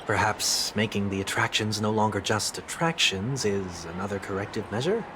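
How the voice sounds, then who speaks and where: An actor playing a calm, solemn fallen leader in a video game, speaking in a formal, measured, reflective way. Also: male voice, on the low side, background noise, close to an everyday voice. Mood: composed. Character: calm, solemn fallen leader man with ear feathers and halo. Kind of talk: English video game voice acting. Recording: loud background music. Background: game music.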